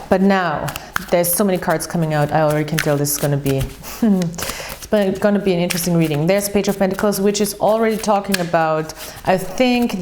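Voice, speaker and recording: A woman with a fairly low voice hosts a casual tarot reading in English. She talks in a chatty, earnest way and often restarts sentences. She repeats words for emphasis. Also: darkish tone, slight background noise. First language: German. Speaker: tarot reader and channel host. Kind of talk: casual tarot reading while shuffling cards.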